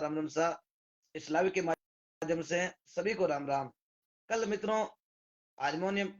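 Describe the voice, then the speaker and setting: Hoarse Rajasthani man, fairly high voice, live-streaming on a muffled phone mic, reeling off viewers' names with thanks. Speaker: devotional singer hosting a live stream. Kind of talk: casual live-stream greetings.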